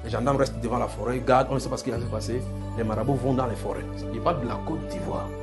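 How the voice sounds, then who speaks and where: Earnest man preaching over soft music. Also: mid-range voice, steady tone, racing. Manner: earnest, insistent, repeats himself. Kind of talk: sermon.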